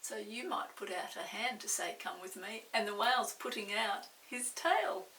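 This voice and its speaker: An older woman reading a picture book aloud for small children, pausing to describe pictures and ask questions. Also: mid-range voice, animated, pushed and bright. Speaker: storyteller. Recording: roomy, distant mic, faint hiss.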